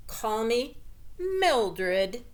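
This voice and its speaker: A woman's voice: fairly high pitch, sing-song, very slow, heavily acted. A woman voicing a nagging, exasperated mother in a children's storybook, firm and emphatic.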